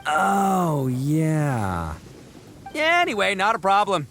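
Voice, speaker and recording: A man's voice: high voice, wildly swooping pitch, takes it slowly, vocal fry, fairly bright tone, slight background noise, full character voice. A man voicing a boastful, goofy, hot-blooded oni gang leader in a video game, blustering and hammy. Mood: boastful, enthusiastic. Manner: blustering, stammering when caught out, hammy. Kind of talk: animated video game voice acting.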